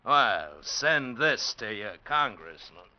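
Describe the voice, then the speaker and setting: A man's voice: mid-range voice, very animated, takes it slowly, background noise. Sneering, bullying chain-gang foreman. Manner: sneering, barking orders. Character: cruel bullying chain-gang foreman, hard-drinking tough. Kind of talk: 1940s-50s radio drama acting. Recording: muffled old radio recording.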